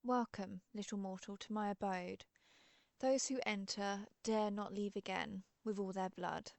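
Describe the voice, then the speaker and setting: Woman playing a creaky-voiced, chatty female vampire. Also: medium pitch, steady tone, a little slow, a little hiss or hum. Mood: mock menacing. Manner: playfully grand, chatty, self-amused. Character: friendly, chatty, theatrical century-old female vampire. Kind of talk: scripted audio roleplay monologue.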